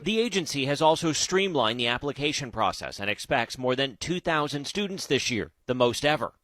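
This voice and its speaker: A man's voice: medium pitch, lively intonation, a little fast, a bit bright, no echo. A man reading scripted narration as a news reporter.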